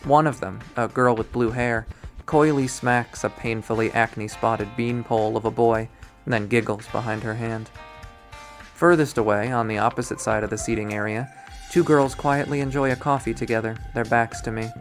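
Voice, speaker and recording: Male game readthrough narrator, mid-pitched, reading scripted prose with wry drama over background music. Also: fairly even intonation, normal speed. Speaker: visual novel readthrough player and narrator.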